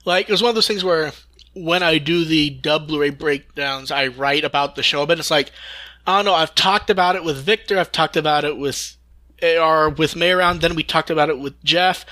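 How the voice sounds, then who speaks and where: A man's voice: a little high, bright, forceful tone. A man hosting a casual podcast news discussion, often restarting his phrases, on a close dry mic. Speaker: podcast host.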